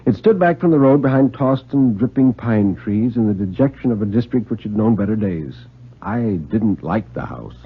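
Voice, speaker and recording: A man reads a story aloud in the dramatic manner of 1950s radio. His husky voice moves expressively up and down through deliberate, literary sentences. The recording is old, muffled and thin. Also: lowish voice, sing-song.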